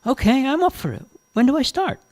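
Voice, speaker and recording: Man putting on a high-pitched, eager young woman. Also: sing-song, moderate pace, creaky, a little mellow, breathy edge, no echo, exaggerated voice. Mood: eager, cheeky. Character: bold, chatty, ambitious young woman car seller. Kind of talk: character voice in story narration.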